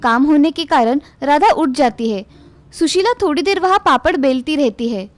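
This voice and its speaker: A woman's voice: high voice, animated, normal speed. A woman narrating a scripted moral story for children.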